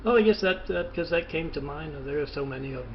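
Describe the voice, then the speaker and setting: A man rambling haltingly through a phone interview, with hesitant pauses and a muffled, noisy recording. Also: fairly high pitch, animated. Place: phone call.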